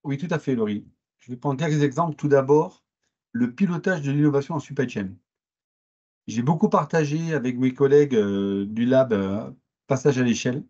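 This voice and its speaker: A man with a southern French accent, answering interview questions in a measured way, with frequent hesitations and restarts. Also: medium pitch, darkish tone, muffled recording. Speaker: interviewed guest.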